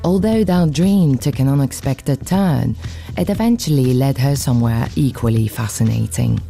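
A woman radio presenter narrates a scripted feature. Her voice is exceptionally low and very mellow and dark, over faint music. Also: moderate pace, gravelly.

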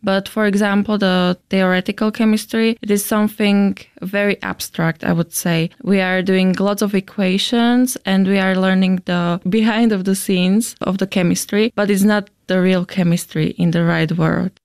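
A woman's voice: mid-range voice, fairly even intonation, normal speed, few pauses, a little mellow, clear, resonant tone, dry recording, studio quality. A woman in her twenties from Slovakia with a Slovak accent, explaining things as a student interviewee. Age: 20s.

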